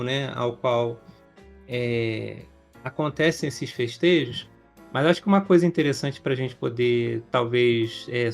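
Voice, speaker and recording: A man's voice: medium pitch, gravelly. A man hosting a podcast, introducing his guest in a rambling, hesitant way with many fillers. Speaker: podcast host.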